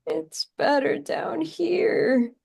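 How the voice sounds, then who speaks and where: An anxious, officious female aide, played by an actress, barely holding herself together. Her voice is high and she speaks slowly, insistent even as she stammers, as in a play reading. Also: vocal fry, exaggerated voice. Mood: defeated. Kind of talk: script table read.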